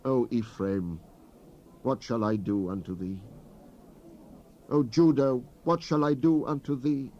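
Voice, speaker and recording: A man's voice: mid-range voice, slow, long pauses, close to an everyday voice. An actor playing a stern prophet pronouncing God's judgment in a solemn, declamatory reading of King James scripture. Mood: grieved, reproving. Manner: solemn, declamatory, archaic phrasing. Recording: dull lo-fi 1970s cassette rip.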